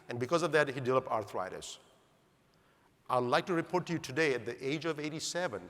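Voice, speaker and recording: A middle-aged man from Zambia gives an animated conference talk and keeps asking the crowd rhetorical questions. His voice sits slightly high for a man. The sound has a long hall reverb. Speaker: speaker at a longevity conference.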